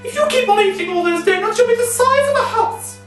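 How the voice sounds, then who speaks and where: Man putting on a very high, nagging mother. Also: animated, a little fast. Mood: scolding. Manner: shrill, admonishing. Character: nagging, scolding British mother of a greedy boy. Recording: echoey, distant voice with music behind.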